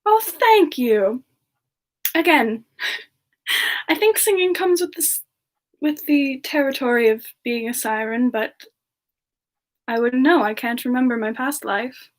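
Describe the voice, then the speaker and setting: A woman voicing a gentle, friendly young siren demon in a semi-ASMR livestream chat, speaking softly and hesitantly with polite warmth toward listeners. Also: high-pitched, slow pace, pauses often. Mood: gentle, cheerful. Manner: soft-spoken, hesitant, polite, breaking into murmurs. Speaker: livestream host in character.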